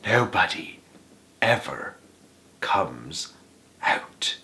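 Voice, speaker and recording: Man acting an excitable old storytelling grandfather. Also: deep voice, vocal fry, pitched below the performer's own voice, full character voice. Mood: hushed, secretive. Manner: emphatic, dramatic, savouring pauses.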